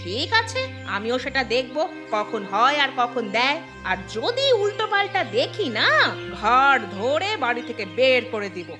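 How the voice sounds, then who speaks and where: A woman voicing a suspicious, sharp-tongued village wife in a cartoon, with a scolding, sarcastic manner. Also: high-pitched, sing-song, unhurried, over music. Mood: angry, scornful. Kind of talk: Bengali cartoon voice acting.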